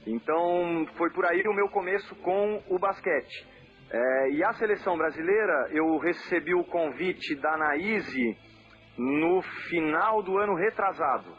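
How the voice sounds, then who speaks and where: A man from southern Brazil who coaches a national team, answering interview questions in a measured way, with frequent fillers and restarts. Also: a little high, lively intonation, soft background music. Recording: muffled lo-fi audio with faint noise.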